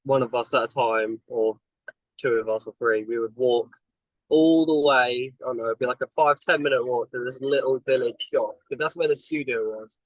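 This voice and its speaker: Brief: English guy, mid-pitched, rambling anecdotes with chuckles, dry close sound in a car. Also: steady tone, normal speed, no echo, poor audio. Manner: rambling storytelling, chuckles between lines. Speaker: band member. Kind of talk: casual interview chat. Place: inside a parked car.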